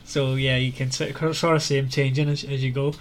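A man with a medium-pitched voice hosts a casual book discussion. He talks informally, softening his points with hedged, loose phrasing. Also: little pitch movement, brisk, a little hiss or hum. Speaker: podcast host.

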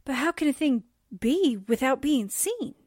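A woman playing a spirited, wisecracking newspaperwoman in 1940s radio drama style, expressive and wry, on a noisy recording. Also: sits high, measured pace, dry recording, clean studio sound. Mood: amazed.

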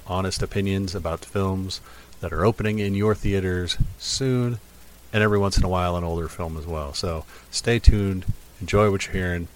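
A man in a relaxed movie-podcast chat, his voice nasal and stuffed up from a recent nose surgery. He talks in an easygoing, friendly way, with plenty of "uh" fillers as he sets things up. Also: deep voice. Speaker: podcast host.

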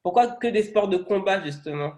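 High-voiced chatty male podcast host. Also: animated, a little fast, dark tone. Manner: chatty, with many hedges and fillers. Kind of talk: casual interview. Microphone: video call.

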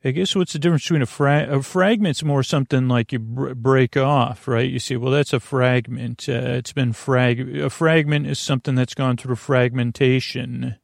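A gravelly, fry-heavy male voice in a very dry recording. He hosts a sleep podcast as a soothing, rambling monologue. He stumbles over word starts, circles back and questions himself as he drifts between tangents. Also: medium pitch, steady tone, normal speed, few pauses, no echo. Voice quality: creaky. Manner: meandering, stammering, tangent-prone, self-questioning; soothing, lulling, drowsy delivery. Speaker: podcast host.

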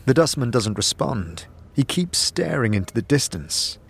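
A man's voice: medium pitch, big pitch swings, normal speed, gravelly, a bit bright, breathy edge. A man narrating a scripted fantasy story in the second person, setting the scene as a storyteller.

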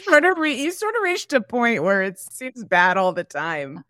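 A woman's voice: a little high, huge pitch swings, normal speed, sharp tone, no echo, clean studio sound. A woman co-hosting a podcast in casual comedic chat, wry, teasing and self-deprecating. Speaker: podcast co-host.

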